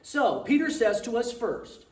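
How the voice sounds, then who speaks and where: An older man preaches from a big church stage, his voice high for a man and his delivery slow and deliberate. His sound is roomy and echoing, picked up from far off, with a faint hum of the room behind him. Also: sing-song. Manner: jokey, loud projecting preacher. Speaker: pastor. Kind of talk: sermon. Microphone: far camera, handheld mic.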